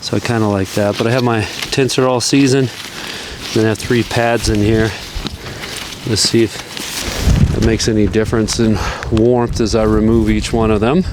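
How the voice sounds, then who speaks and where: A man casually talking through his camping gear outdoors in strong wind, relaxed and rambling, chuckling now and then, recorded on a camera mic. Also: on the low side, normal speed. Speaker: outdoor vlogger. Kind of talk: casual gear-testing vlog. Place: outdoors in a windy backyard.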